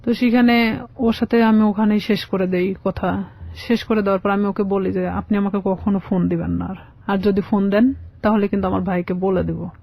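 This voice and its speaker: A woman's voice: medium pitch, steady tone, moderate pace, gravelly, no room sound. A woman telling her personal story conversationally as a radio guest, often quoting both sides of conversations. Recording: slightly dull lo-fi radio audio.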